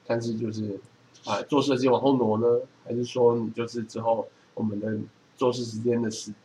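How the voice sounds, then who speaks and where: A man's voice: medium pitch, little pitch movement, brisk, dark tone, a little hiss or hum, rough recording. A man with a Taiwanese accent chatting casually as a co-host, explaining things and giving advice. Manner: explanatory, advising. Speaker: podcast co-host. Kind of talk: casual chat.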